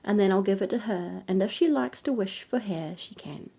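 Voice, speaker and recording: A woman's voice: medium pitch, normal speed, creaky, dark tone, husky. A woman voicing a firm, protective storybook king with an emphatic, reasoning manner. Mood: reassuring. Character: firm, no-nonsense king, protective husband and father.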